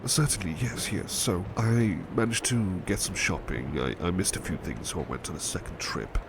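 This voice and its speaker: A man playing a gentle, devoted butler in an intimate ASMR roleplay, speaking politely and tenderly with a hesitant stammer. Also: sits low, moderate pace, background noise. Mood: tired, chatty. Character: gentle, devoted, flustered male butler, tired and affectionate.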